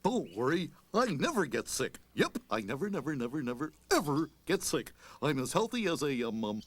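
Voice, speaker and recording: A man's voice: on the high side, big pitch swings, moderate pace. An actor playing a cocky, boastful male moose in a cartoon. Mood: bragging. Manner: bragging, blustery.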